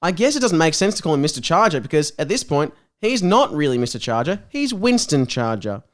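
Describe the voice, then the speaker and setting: Man, higher voice, very animated intonation, playful, giggly, casual comedy podcast host. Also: brisk. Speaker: podcast host reading his own fan fiction. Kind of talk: casual comedic chat mixed with reading a story aloud.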